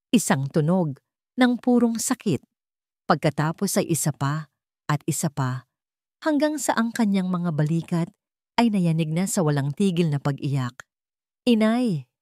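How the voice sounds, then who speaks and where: A woman with a Filipino accent narrates a scripted story in a measured, dramatic way, in short phrases. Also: lowish voice, a little mellow, no echo, studio quality.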